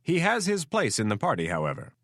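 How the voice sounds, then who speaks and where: A man's voice: fairly high pitch, huge pitch swings, a little fast, fairly bright tone, dry recording, studio quality, voice pushed up, full character voice. A man voicing a weary middle-aged male president who talks plainly and frankly.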